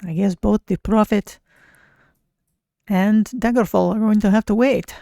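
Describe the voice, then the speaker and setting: A streamer voicing an easygoing cat-folk adventurer in a fantasy game, measured and wry, with a creaky voice. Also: mid-range voice, steady tone, warm tone, dry recording, clean studio sound. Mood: wry, doubtful. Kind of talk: in-character game stream roleplay.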